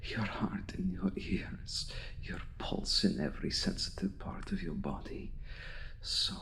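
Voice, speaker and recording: Man acting a deep, slow, gravelly seductive vampire. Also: a bit bright. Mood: predatory, savoring. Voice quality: low, breathy, gravelly, often slipping into whisper. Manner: deliberate, commanding, coaxing with long pauses. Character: seductive, domineering male vampire hypnotist, patient and predatory. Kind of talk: intimate ASMR roleplay, slow and hushed.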